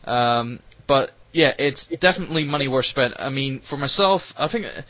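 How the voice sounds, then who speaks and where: A man's voice: mid-range voice, pushed and bright, dry recording. Rambling British man, dull lo-fi sound. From United Kingdom. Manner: rambling, halting. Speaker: podcast host. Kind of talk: casual interview chat. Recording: dull lo-fi audio.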